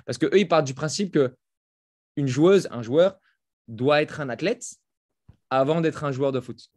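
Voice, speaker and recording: A French man who just turned thirty, guest on a podcast, speaking in a halting way full of fillers and restarts. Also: on the high side, very noisy. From France. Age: 30s. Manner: hesitant, many fillers and restarts. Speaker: guest, fitness coach. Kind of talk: casual interview talk. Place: home in Florida, over a call.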